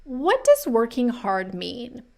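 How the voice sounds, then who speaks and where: Female career-advice host, thirties, slightly high pitch, animated conversational ranting to camera. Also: takes it slowly, gravelly. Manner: animated, emphatic, conversational ranting with rhetorical questions. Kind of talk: casual opinionated commentary to camera.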